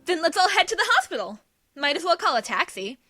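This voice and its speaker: A woman's voice: very high-pitched, very animated, quick pace, sharp tone, heavily acted. A woman playing a bossy, opinionated schoolgirl club leader in an anime-style audio drama, speaking assertively. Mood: decisive, eager. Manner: assertive, declarative. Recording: music behind the voice.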